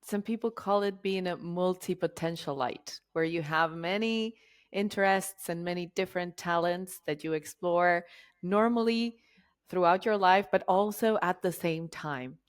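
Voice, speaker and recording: Argentine woman facilitator in her forties, lower register, chatty guest. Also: a little slow, smooth. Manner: chatty, jokey and laughing easily. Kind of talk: conversational interview.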